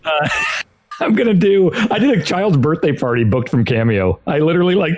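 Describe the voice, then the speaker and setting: Animated man telling rambling stories. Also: fairly high pitch, brisk. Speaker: podcast guest. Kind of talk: casual chat.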